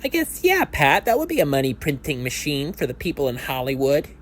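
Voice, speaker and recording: A man's voice: on the high side, wildly swooping pitch, brisk, slight background noise. A man voicing a tipsy, nervous and eager basketball fan in an audio play, hesitant, stammering and incredulous.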